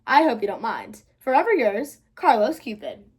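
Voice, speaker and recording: Polite, formal storybook Cupid woman reading: high, animated, expressive. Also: normal speed, husky, heavily acted. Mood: courtly, apologetic. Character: courtly, polite male Cupid writing a letter.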